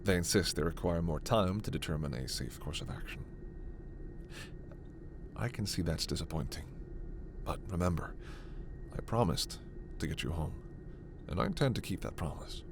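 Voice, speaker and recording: Man playing a gentle, low, creaky alien scientist. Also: lively intonation, moderate pace, pauses often, noisy background. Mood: apologetic, reassuring. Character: gentle, earnest male alien scientist reassuring a human. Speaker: voice actor. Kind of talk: ASMR sci-fi roleplay acting.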